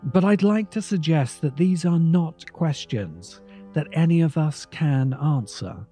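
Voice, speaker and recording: A man narrating a scripted video essay in a measured manner, pausing for emphasis. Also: fairly high pitch, animated, mellow. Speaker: host and narrator. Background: music.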